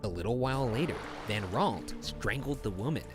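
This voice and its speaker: A man reading a scripted mystery narration in a measured, storytelling delivery. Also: medium pitch, lively intonation. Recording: narration over background music.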